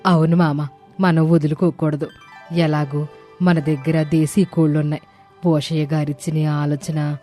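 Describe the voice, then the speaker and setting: A woman voicing a humble, sensible poor village wife in an animated moral story, respectful in manner. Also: deep voice, a little slow, soft background music. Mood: hopeful.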